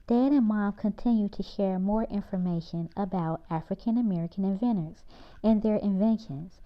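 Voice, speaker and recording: Woman reading a storybook, slightly muffled recording. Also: mid-range voice. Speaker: storyteller reading a children's book aloud. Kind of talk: expressive read-aloud narration.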